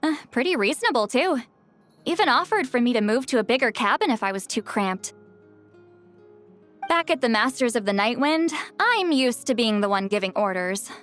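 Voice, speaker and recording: Flustered, proud ex-commander. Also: female voice, fairly high pitch, animated, fairly bright tone. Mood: wry. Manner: guarded, quick to fluster, wry. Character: proud woman, former commander, defensive and easily flustered. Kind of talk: video game voice acting. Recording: game audio over faint music.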